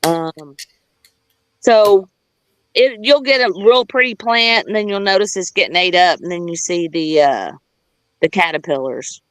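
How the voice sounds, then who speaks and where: A woman's voice: lowish voice, animated, a little slow, dry recording, poor audio. A woman chatting casually and telling a personal story, candid and sweary, wandering into side details and quoting people as she goes. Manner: candid, profane, rambling with digressions; acts out quoted dialogue.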